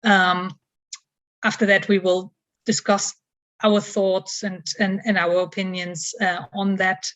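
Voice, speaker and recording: A woman moderating an online panel, speaking haltingly with many fillers. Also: medium pitch, flat delivery, fairly bright tone, muffled recording.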